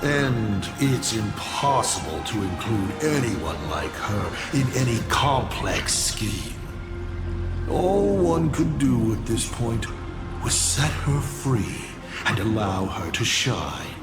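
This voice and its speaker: A theatrical game villain, smug and scheming. He draws his words out slowly and swings widely in pitch as he teases. The voice has a roomy echo, with music playing underneath. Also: sing-song. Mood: sly, amused. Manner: theatrical, teasing, drawn-out delivery with big swoops. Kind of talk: video game voice acting.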